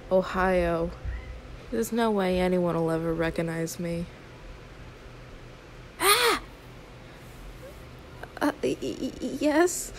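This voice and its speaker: A woman's voice: high voice, a little hiss or hum, heavily acted. A woman voicing an anxious, sweet young female YouTuber in an audio roleplay, stammering, awkward and apologetic. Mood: anxious muttering, then flustered, polite. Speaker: ASMR roleplay performer. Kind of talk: one-sided ASMR audio roleplay.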